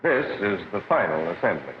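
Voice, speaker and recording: An actor with an American accent playing a practical factory instructor who explains things methodically in a 1940s training film. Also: male voice, low voice, very animated, a little fast. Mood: friendly, explaining. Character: plain-spoken, practical male factory instructor. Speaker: shop floor instructor. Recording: dull, hissy 1940s film soundtrack.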